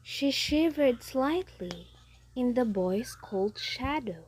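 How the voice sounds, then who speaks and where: A woman's voice: on the high side, lively intonation, takes it slowly, a little mellow, slight background noise, rough recording. A young woman reading scripted story narration as a readers' theatre narrator. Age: young adult.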